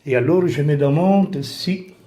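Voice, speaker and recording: Slow hesitant man, native Italian speaker. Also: a little high, mellow. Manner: hesitant, halting, many fillers and restarts. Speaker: vlogger reviewing camera gear. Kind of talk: casual product tutorial.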